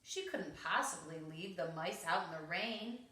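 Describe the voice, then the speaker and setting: A woman's voice: fairly low pitch, expressive, measured pace, sharp tone. A woman reading a children's story aloud, expressively and with dramatic emphasis. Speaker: storybook reader. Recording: roomy, echoing home recording.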